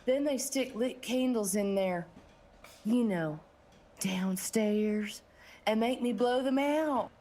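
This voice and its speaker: A woman's voice: a little high, darkish tone. A woman playing a flirty, ditzy, put-upon bakery bookkeeper in a comedic audio drama, coy in manner.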